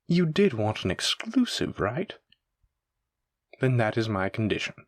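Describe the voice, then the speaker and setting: A man's voice: mid-range voice, big pitch swings, unhurried, no echo, studio quality. A man playing a suave, menacing mafia boss in a roleplay audio drama, with a theatrical, teasing and condescending manner. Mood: playful, commanding. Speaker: voice actor. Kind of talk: scripted roleplay audio drama addressed to listener.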